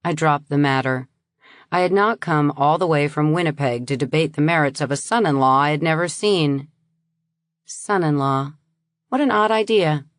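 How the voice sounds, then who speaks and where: Low-voiced woman narrator, dry close sound, lively reading of first-person fiction. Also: moderate pace, dry recording. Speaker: audiobook narrator.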